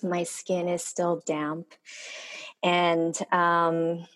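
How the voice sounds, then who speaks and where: A woman whose first language is Korean, a skincare maker speaking as a guest in an interview, soft-spoken and hesitant, with many pauses. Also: on the low side, completely flat delivery. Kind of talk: reflective interview talk.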